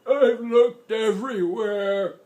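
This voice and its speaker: A man voicing a storybook grandfather, old, toothless and indignant, with a lisp and drawn-out, loud exclamations. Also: high voice, pitched above the performer's own voice. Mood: indignant distress. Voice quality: lisping, toothless speech.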